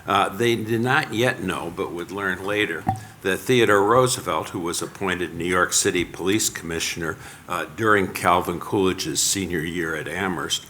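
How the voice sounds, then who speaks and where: An older man lectures from a podium in a very low, forceful voice. He keeps to a measured pace, as if reading from a prepared text. A faint hum of the room sits behind him. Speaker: panel speaker. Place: lecture hall.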